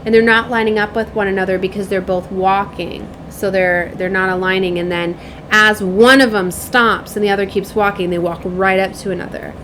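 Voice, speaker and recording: A woman's voice: mid-range voice, fairly even intonation, normal speed, a bit bright, slight background noise, poor audio. A woman in her late thirties giving a casual, rambling spiritual reading as a tarot reader, with an emphatic manner.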